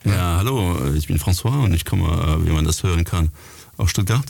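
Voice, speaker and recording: A very deep, gravelly male voice with a French accent and a husky edge. He jokes in casual, slightly broken German as a guest. His tone is relaxed. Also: moderate pace, dry recording. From France, Paris. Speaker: bass player, guest. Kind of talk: casual interview chat.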